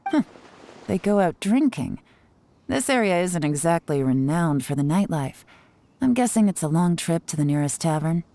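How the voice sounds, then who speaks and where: A woman's voice: fairly low pitch, lively intonation, moderate pace, dark tone, no echo. A woman playing a cool, shrewd female intelligence operative in a video game, composed, confident and knowing. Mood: probing. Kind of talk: video game character acting.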